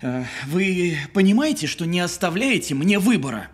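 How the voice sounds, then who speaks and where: An actor playing an insecure, flustered police officer who threatens defensively and stammers in an audio drama. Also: male voice, high-pitched, big pitch swings, moderate pace, husky, heavily acted. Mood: tense, officious. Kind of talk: naturalistic audio film drama acting.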